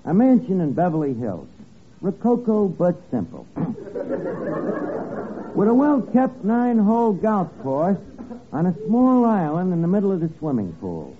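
Eager, high-pitched detective's sidekick, tinny old radio. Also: male voice, very animated, unhurried, pauses often. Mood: mock-dramatic. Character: eager, dim male detective's sidekick, narrating the case. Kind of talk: 1950s radio comedy detective spoof acting. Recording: tinny, band-limited old radio recording with hiss.

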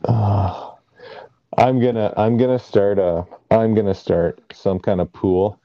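Brief: low-pitched laid-back man from Canada, agreeable, muffled lo-fi sound. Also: fairly even intonation, slow pace, warm tone, no echo. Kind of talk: casual chat. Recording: dull lo-fi audio.

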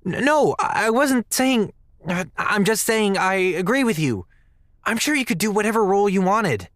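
A man's voice: sits high, big pitch swings, moderate pace, fairly bright tone, no room sound, clean studio sound. A man playing a naive, polite, awkward young man in an audio drama, earnest and hesitant with a stammer. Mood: flustered. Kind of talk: naturalistic audio drama dialogue.

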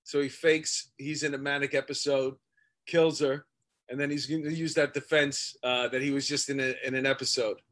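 A man's voice: medium pitch, little pitch movement, moderate pace, a bit bright. A man in his fifties co-hosting a conversation, joking and rambling on a video call. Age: 50s. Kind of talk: casual conversation.

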